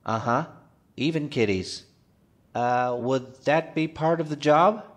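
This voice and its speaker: An actor playing a timid, earnest forest ranger, polite and matter-of-fact, in a comedic audio drama. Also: male voice, mid-range voice, takes it slowly. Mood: mild, timid.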